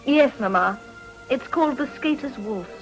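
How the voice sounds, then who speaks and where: High-voiced, genteel English political wife. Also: female voice, expressive, normal speed, faint music behind, rough recording. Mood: eager. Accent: upper-class English. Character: poised, guarded upper-class young politician's wife. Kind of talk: British period drama acting.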